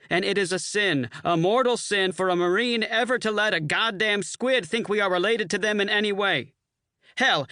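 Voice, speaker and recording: A man voicing a brash, boastful, hard-drinking Marine colonel with a loud, swaggering delivery. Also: sits high, dry recording, clean studio sound, voice pushed up.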